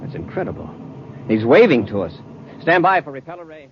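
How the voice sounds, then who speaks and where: An actor playing a decisive space patrol commander in a clipped, commanding 1950s radio drama style. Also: male voice, fairly high pitch, sing-song, a little fast. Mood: urgent, commanding. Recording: muffled, noisy old radio recording.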